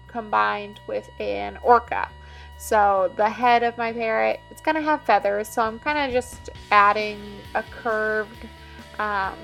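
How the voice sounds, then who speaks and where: Woman teaching art, slightly creaky voice. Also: medium pitch, a bit bright, rough recording. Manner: instructive, explaining step by step. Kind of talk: casual drawing demonstration, talking while working.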